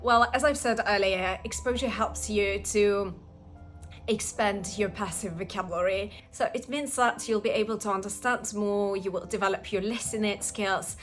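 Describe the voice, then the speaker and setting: A woman's voice: mid-range voice, fairly even intonation, moderate pace, faint music behind. A young woman whose first language is Russian, explaining language-learning habits in a casual vlog with frequent "uh" fillers. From Russian-speaking. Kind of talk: casual vlog talk to camera.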